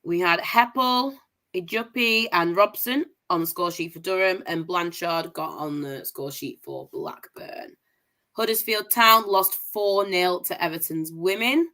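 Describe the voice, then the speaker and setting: A woman's voice: fairly low pitch, expressive, a little slow, bright, forceful tone. A young woman from Manchester who sounds posh, hosting a casual football results show and reeling off scores with upbeat enthusiasm. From Manchester, England. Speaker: host of a women's football review show. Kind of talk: casual livestream results roundup.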